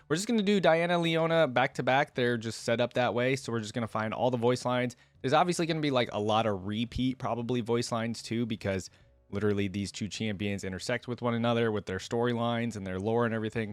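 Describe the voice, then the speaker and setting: A man's voice: mid-range voice, fast, no room sound, soft background music. A man hosting a reaction video with casual, chatty commentary, thinking aloud as he goes.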